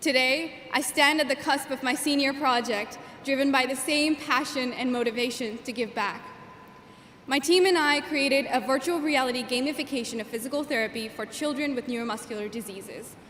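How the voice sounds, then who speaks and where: A young woman in her twenties whose first language is Gujarati, giving an earnest, measured commencement speech as class valedictorian at a podium microphone. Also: high-pitched, a bit bright, slight background noise. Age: 20s. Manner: earnest, measured, with emphatic phrasing. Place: large commencement hall. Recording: echoey hall with long reverb.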